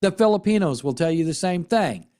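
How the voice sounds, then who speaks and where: Animated American man with a higher-pitched voice. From United States. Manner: earnest, emphatic, with rhetorical repetition and dramatic pauses. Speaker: political commentary host and military veteran. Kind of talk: impassioned monologue commentary.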